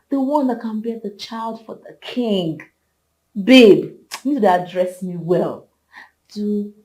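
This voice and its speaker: A woman's voice: mid-range voice, darkish tone, close to an everyday voice, low-quality recording. An actress playing a brash, haughty young Nigerian woman in a film drama, confrontational and slipping into Pidgin. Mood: gloating, boastful. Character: brash, gloating young woman pregnant by a king.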